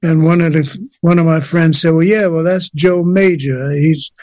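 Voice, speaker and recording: Older man telling stories, halting and relaxed. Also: fairly high pitch, no room sound. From USA, New Jersey. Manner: hesitant, many fillers and restarts. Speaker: guest singer. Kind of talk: relaxed interview storytelling.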